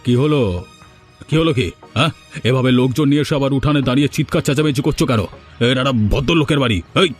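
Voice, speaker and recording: A man acts an elderly cartoon villager, scheming and full of bluster. He rattles his words out quickly over faint music. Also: mid-range voice, fairly even intonation, lightly acted. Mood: indignant, scolding. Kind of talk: Bengali cartoon dialogue. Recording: music behind the voice.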